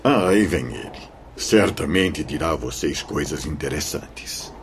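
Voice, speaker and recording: An actor playing a kindly, solemn priest in a radio drama, speaking in a measured, fatherly, preaching way. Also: very deep voice, animated, heavily acted. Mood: solemn, instructive. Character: kindly, solemn priest counselling suffering souls.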